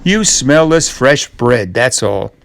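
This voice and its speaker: A man's voice: medium pitch, expressive, slow, creaky, background noise. A man voicing a tall, vain, bossy giant's wife in a children's storybook, haughty and scolding.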